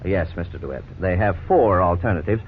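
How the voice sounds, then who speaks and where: A thin, lightly noisy vintage radio recording carries a male actor as a lawyer-narrator. His low voice is earnest and didactic, with measured, scripted authority in 1950s style. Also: narrow, tinny audio, close to an everyday voice. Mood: earnest, explanatory.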